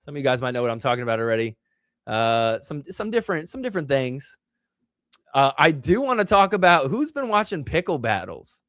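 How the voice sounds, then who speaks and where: A man's voice: mid-range voice, sing-song, fairly quick, a bit bright, dry recording, studio quality. A man hosting a casual live stream, rambling with lots of fillers, reading out the chat, his voice still recovering from being lost. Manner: rambling, filler-heavy, reads chat aloud. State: recovering from illness and voice loss. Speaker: host of a live stream show.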